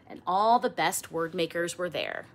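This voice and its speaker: A middle-aged woman reading a children's picture book aloud expressively, with theatrical emphasis and drawn-out words. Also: fairly low pitch, very animated. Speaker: storybook reader. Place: small indoor room with bookshelves.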